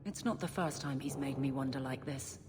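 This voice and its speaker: A woman's voice: rumbling low, brisk, long reverb tail, music in the background. A woman playing a principled, no-nonsense city guard in a video game, blunt and resolute.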